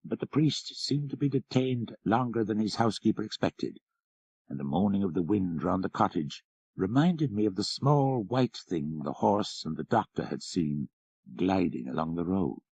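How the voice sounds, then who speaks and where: A man's voice: low voice, expressive, moderate pace, creaky, darkish tone, slightly rough, dry recording. A man reading a literary story as scripted audiobook narration.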